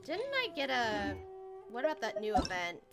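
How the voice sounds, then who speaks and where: A woman with a fairly high voice livestreams her gameplay. She talks casually and excitably, musing aloud and exclaiming, with clear game music playing behind her. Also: moderate pace. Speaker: game streamer. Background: video game music.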